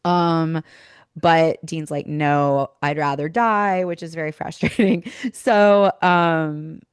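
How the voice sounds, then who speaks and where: A woman's voice: deep voice, steady tone, slow, clean tone, no echo, clean studio sound. A woman hosting a podcast in a casual, chatty, informal way, saying "like" often, her voice a little worn from teaching. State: losing voice from teaching. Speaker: podcast host; school teacher. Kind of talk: casual chat and informal episode recap.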